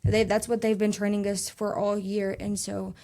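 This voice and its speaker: A woman's voice: medium pitch, little pitch movement, warm tone. Earnest young woman talking casually. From Texas. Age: young adult. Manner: earnest, quick, many fillers and restarts. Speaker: guest, youth advocate. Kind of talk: casual interview conversation.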